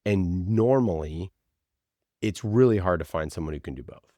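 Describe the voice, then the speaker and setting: A man's voice: low voice, expressive, moderate pace, mellow, dry recording, clean studio sound. A man talking casually as an interview guest and podcast producer, giving emphatic, opinionated advice and acting out imagined exchanges.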